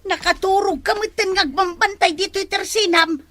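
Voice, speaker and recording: A woman playing a sharp, nagging village woman in a radio comedy drama, scolding and questioning. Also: extremely high pitch, steady tone, moderate pace.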